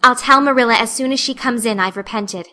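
A woman voices a talkative young girl in a storybook. The voice is fairly high and clear-edged bright, earnest and dramatic, with a plaintive solemnity. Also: brisk. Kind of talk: audiobook character acting.

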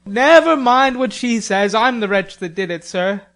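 A woman's voice: on the high side, expressive, unhurried, a bit bright. A woman reading a charming teenage boy in a storybook, mock-humble, with an ornate, engaging manner. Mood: mock-contrite.

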